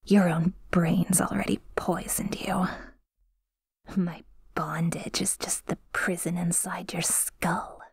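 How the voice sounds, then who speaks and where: A woman playing a possessive, menacing, teasing yandere demon in a breathy, whispered ASMR roleplay, slow and intimate. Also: fairly low pitch, flat delivery, darkish tone, no room sound, clean studio sound, exaggerated voice. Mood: possessive, gloating.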